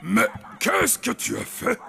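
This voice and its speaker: An actor voicing a grouchy, boastful cartoon bogeyman with a gravelly, creaky voice and a blustering delivery. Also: mid-range voice, wildly swooping pitch, slow, bright, forceful tone, heavily acted. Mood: indignant. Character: grouchy, boastful bogeyman proud of scaring humans. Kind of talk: French cartoon dub acting.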